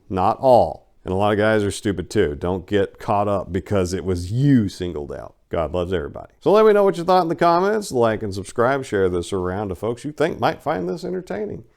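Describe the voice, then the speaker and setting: A man's voice: mid-range voice, a little mellow. A man reading his own book as a dramatic first-person narration, with a colloquial, cocky storytelling manner.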